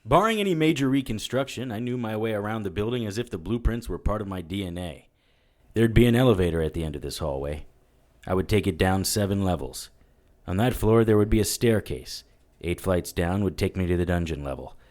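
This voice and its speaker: A world-weary supernatural investigator played by a man narrates in a very deep voice. He is dry and wry, telling his own story in noir audio drama style. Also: animated, a little fast, a little mellow, lightly acted. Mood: measured. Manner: dry, matter-of-fact, wry.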